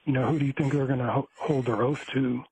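A man calling into a talk show, rambling with many halting restarts and fillers. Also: medium pitch, fairly even intonation, a little mellow. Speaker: caller. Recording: tinny phone line.

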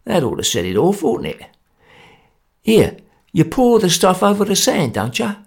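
Man playing a smug, gravelly Cockney plotter. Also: high voice, wildly swooping pitch, normal speed, voice pushed up, exaggerated voice. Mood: complacent. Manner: smug, matter-of-fact. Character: complacent, unbothered male amateur bomb-maker.

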